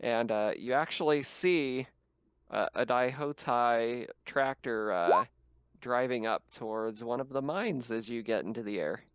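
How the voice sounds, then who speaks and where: A man's voice: medium pitch, lively intonation, measured pace, clean tone, dry recording, muffled recording. A man speaking casually as game master, improvising narration and explaining rules, often with a chuckle.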